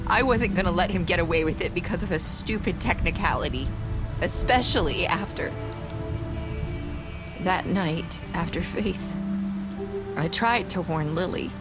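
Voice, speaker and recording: A woman's voice: on the low side, lightly acted. An actress playing a sorrowful young woman in a video game drama, confessing in an earnest, hesitant way. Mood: defensive confession. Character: sorrowful young woman confessing, former mermaid, wounded but resolute.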